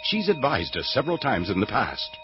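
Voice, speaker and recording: A man's voice: mid-range voice, sing-song, music in the background, natural voice. A man playing a serious, authoritative rebel general in a sci-fi radio drama. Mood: commanding. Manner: brisk, authoritative. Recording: dull lo-fi radio play.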